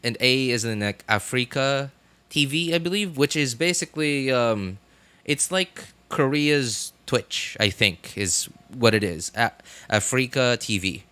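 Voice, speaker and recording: A man in his thirties chatting casually on a livestream, rambling and haltingly thinking aloud. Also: medium pitch, sharp tone, clear, resonant tone, no room sound.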